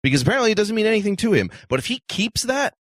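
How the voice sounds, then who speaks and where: Animated, opinionated man on a talk panel. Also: high voice, very fast, no echo, studio quality, soft background music. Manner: explanatory, opinionated. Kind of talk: casual opinionated discussion.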